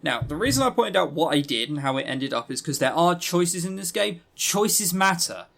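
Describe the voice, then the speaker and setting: A man's voice: a little high, very animated, normal speed, creaky, a bit bright. Man in casual, sweary podcast chat. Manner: casually sweary, wry, self-deprecating. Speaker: podcast host reviewing visual novels. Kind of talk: casual rambling review talk.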